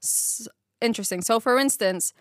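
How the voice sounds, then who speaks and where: A woman's voice: a little high, moderate pace, no echo. Woman chatting about bible study, slangy and giggly, dead dry mic. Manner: slangy, laughs often, animated. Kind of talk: casual Bible study chat.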